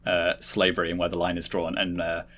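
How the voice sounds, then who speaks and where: A man's voice: low-pitched, monotone, brisk, fairly bright tone. A man explaining his points analytically in a casual podcast discussion. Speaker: podcast panelist.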